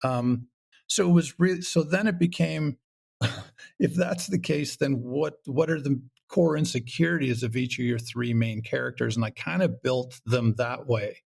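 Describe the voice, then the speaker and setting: Guy with a gravelly creak, chatting in an interview, throws in acted-out asides. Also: medium pitch, measured pace, a little mellow. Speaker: guest, writer and creator of audio comedy. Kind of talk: casual interview talk.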